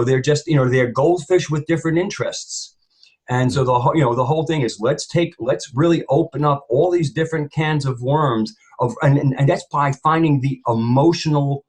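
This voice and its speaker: A man's voice: medium pitch, breathy edge. A man speaking as a panelist in an opinionated discussion, rambling and emphatic, often repeating phrases for weight.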